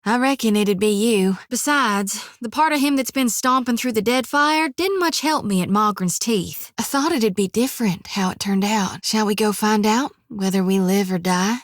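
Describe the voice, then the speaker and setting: A woman's voice: medium pitch, no echo, lightly acted. An actress voicing a folksy, earnest young woman priest of death in a video game, with a drawl. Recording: dry studio recording.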